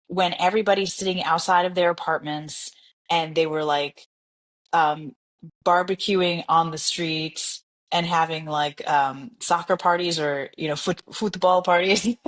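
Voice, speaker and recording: An American woman, a jazz singer being interviewed, telling stories in a casual, animated way, often laughing and acting out quotes. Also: fairly low pitch. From United States. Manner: animated, laughing storyteller with lively acted-out quotes.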